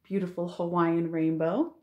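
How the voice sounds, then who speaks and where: Expressive woman reading to young children. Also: fairly low pitch, highs cut off, a little hiss or hum. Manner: expressive, full of wonder, drawn-out emphasis. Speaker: children's librarian hosting storytime. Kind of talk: picture-book read-aloud with asides to young children. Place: living room at home, indoors.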